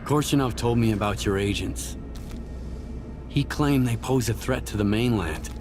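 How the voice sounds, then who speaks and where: A man's voice: mid-range voice, normal speed, over music, close to an everyday voice. A man playing a wary, questioning mercenary in a video game, terse and probing. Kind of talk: scripted video game cutscene acting.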